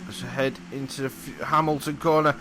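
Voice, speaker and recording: A man with a hoarse, croaky voice commentating a replay of his own sim race, chatty and often apologising and correcting himself. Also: mid-range voice, fairly even intonation, moderate pace, noisy background. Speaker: sim racing commentator and driver.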